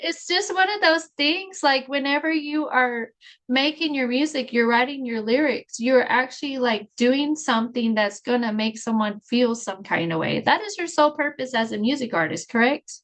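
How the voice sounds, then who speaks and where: A woman's voice: high voice, measured pace. A woman hosting a podcast, upbeat and encouraging as she talks with her guest. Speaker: podcast host. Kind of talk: casual interview chat.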